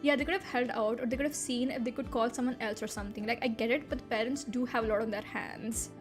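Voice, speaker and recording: Young woman streamer, hindi is her first language, high voice, laughs a lot, blunt and kinda incredulous. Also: fast talker, over music. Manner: animated, incredulous, laughs often, blunt with swearing. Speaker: VTuber streamer. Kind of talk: reading and reacting to online posts on a live stream.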